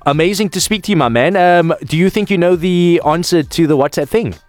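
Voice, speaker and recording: A man's voice: high voice, brisk, dry recording, soft background music. A man hosting a morning radio show, chatty and friendly with callers in a studio. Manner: friendly, chatty, lots of fillers. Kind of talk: upbeat radio call-in banter.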